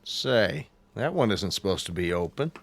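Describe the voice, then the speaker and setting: A man's voice: fairly low pitch, big pitch swings, normal speed, creaky, heavily acted. An actor playing a plain-spoken, rule-minding male guard in a radio drama. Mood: wary, matter-of-fact. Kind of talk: old-time radio drama acting.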